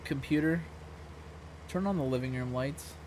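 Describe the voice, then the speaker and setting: A young man with a hoarse, scratchy voice, chatting casually with viewers during a live stream at home. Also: medium pitch, normal speed, a little mellow. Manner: laid-back, chatty, talks to viewers. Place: room at home. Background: music playing.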